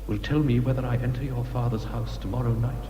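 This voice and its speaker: Period radio drama: ardent captain in love, male actor, mid-pitched, formal and intense, slight noise behind. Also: fairly even intonation, brisk, lo-fi sound, close to an everyday voice. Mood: impassioned longing. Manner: earnest, formal, impassioned.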